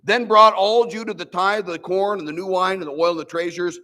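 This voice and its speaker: An older male pastor from the Midwest preaches in a church with a nasal, fairly high voice. His delivery is folksy and animated, and he restarts phrases often as he works through the scripture. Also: brisk. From American Midwest. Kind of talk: sermon.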